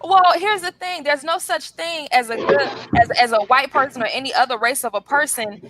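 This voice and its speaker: A woman's voice: sits high, a little fast, crowd noise, rough recording. A woman arguing her point in a heated online debate, blunt and lecturing. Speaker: guest debater.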